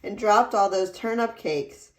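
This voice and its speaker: A woman voicing an excitable, clumsy but apologetic little girl in a storybook, with dramatic exclamations. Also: medium pitch, unhurried, close to an everyday voice. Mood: flustered, apologetic. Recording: roomy echo.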